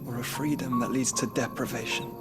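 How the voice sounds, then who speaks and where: A man's voice: mid-range voice, steady tone, brisk, gravelly, over loud music, lightly acted. A man playing a weary, ailing, philosophical duke in a game cutscene, speaking in a formal, eloquent way. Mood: solemn, rueful. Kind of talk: dramatic video game cutscene acting.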